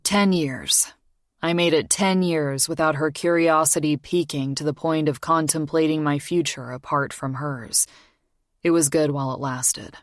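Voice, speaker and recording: Casting: woman doing a reserved single father, measured and low-key, low voice, dry close sound. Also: fairly even intonation, dry recording. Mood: calm. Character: grown man, calm, reserved single father. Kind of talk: audiobook character dialogue.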